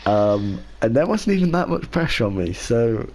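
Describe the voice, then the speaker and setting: A man co-hosting a casual racing podcast, talking in a measured way and softening his opinions as he goes. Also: medium pitch, sing-song. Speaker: podcast co-host and league racer.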